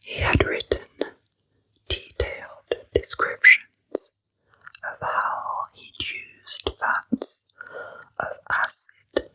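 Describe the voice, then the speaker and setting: A woman narrating true crime in ASMR style, in a hushed, breathy whisper with deliberate storytelling. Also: low-quality recording. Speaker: narrator, ASMR channel host.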